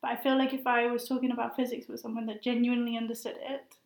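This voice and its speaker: High-voiced young woman, hesitant and thoughtful. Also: little pitch movement, fast talker. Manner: hesitant, thoughtful, many fillers. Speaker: guest, master's student. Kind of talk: casual conversational interview. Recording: roomy echo.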